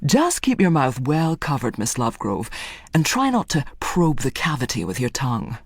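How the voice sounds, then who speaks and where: Woman playing a soothing, over-polite dentist, swooping pitch. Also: wildly swooping pitch, normal speed, slightly rough, no echo, clean studio sound, full character voice. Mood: gentle, hearty. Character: gently hearty antiseptic dentist, solicitous, professionally cheerful.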